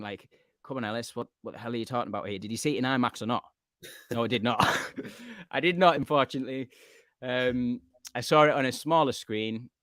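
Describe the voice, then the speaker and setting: A man hosts a film podcast and tells his cinema stories in a chatty, rambling way with plenty of fillers. His voice sits at a middling pitch, and the recording is dry and close with no room sound. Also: no room sound. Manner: chatty, filler-heavy, animated storytelling. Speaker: podcast host. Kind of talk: casual chat on a live stream.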